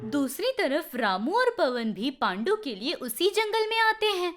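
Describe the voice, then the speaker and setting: A woman's voice: sits high, big pitch swings, measured pace. A woman narrating a scripted children's story. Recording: music behind the voice.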